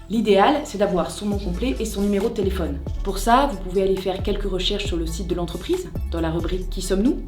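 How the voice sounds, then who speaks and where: A female presenter gives scripted, playful instruction. Her voice sits at an average pitch, and she is direct, conversational and wry. The room echo is noticeable, with light music underneath. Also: a little mellow. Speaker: presenter of job-search tutorial.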